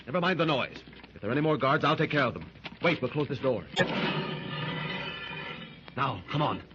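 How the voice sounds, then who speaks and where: A man playing a calm heroic rescuer in a 1930s radio drama, giving curt, reassuring commands. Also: mid-range voice, normal speed, close to an everyday voice. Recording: noisy lo-fi 1930s radio recording.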